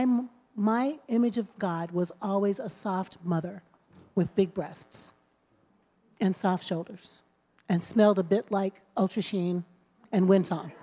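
A woman's voice: medium pitch, dark tone. A woman pastor hosting a conversation at a service, speaking with rambling, preacherly emphasis. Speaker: pastor and host. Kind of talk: sermon conversation. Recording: long hall reverb.

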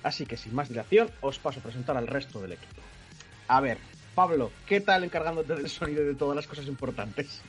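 A man's voice: medium pitch, animated, brisk, soft background music. A man from hosting a casual gaming podcast, rambling a little and laughing along with his co-hosts. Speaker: podcast host.

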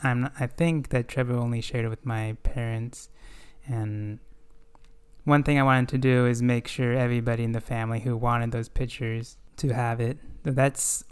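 A man's voice: medium pitch, fairly even intonation, smooth. A man hosting a podcast, talking through scripture and family history in an earnest, reflective way. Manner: earnest, reflective, halting with fillers. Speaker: podcast host. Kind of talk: casual scripture discussion.

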